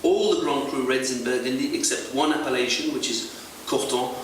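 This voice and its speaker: A man's voice: mid-range voice, normal speed. Echoey, distant man explaining with hesitations. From not French. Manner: hesitant, frequent uh fillers, explanatory. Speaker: export sales manager. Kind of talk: educational talk to retail staff. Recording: very echoey, far from mic, faint hiss.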